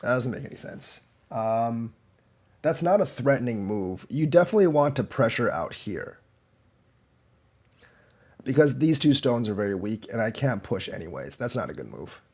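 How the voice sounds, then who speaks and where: A man's voice: medium pitch, sing-song, moderate pace, darkish tone, breathy edge. A man with a slight cold and a slightly congested voice, rambling as he commentates his own board game live and critiques the moves. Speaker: go teacher streamer.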